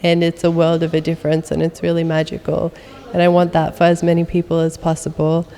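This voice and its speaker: A woman's voice: deep voice, monotone, a little fast, warm tone, big-room sound. A young adult woman guest chatting in an interview, full of ums and uhs. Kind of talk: casual interview conversation.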